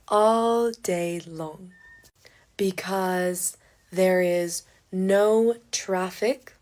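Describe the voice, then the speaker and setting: A woman teaches English on her own podcast at an extremely slow pace. She speaks in short, deliberate phrases with clear pauses between them, and her pitch sits in the middle. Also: a little mellow.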